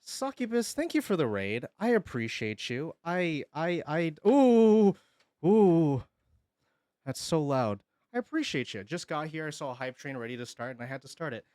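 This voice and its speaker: Man, streamer, joking, lively pitch, dry sound. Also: normal speed, dry recording. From Hawaii. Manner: goofy, joking, slips into singing. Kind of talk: casual stream chat, thanking viewers.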